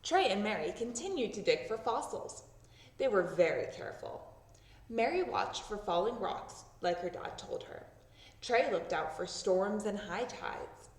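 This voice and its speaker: A woman narrates a children's storybook aloud. Her delivery is expressive and very animated in pitch. The room is clearly echoey and the microphone sits a little way off. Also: unhurried. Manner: expressive, acting out sound words. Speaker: storybook reader. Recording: roomy echo, distant microphone.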